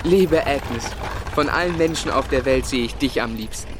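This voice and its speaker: An actor playing an earnest, ardent young gentleman in a German period radio drama. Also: medium pitch, normal speed, background noise, close to an everyday voice. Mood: warm, troubled. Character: earnest, lovestruck young gentleman of Victorian England. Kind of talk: German radio play acting and narration.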